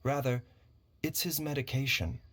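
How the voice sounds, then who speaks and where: A man playing a composed, erudite doctor in a fantasy game, speaking in a measured, formal, clinical way with grave concern. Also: mid-range voice, fairly even intonation, a bit bright, lightly acted. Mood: calm, clinical. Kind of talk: scripted game dialogue. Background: background music.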